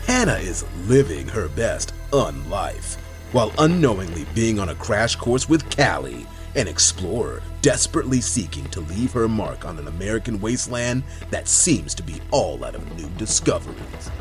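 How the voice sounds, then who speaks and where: A man's voice: fairly low pitch, big pitch swings, normal speed. A man narrating a dramatic scripted trailer. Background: music.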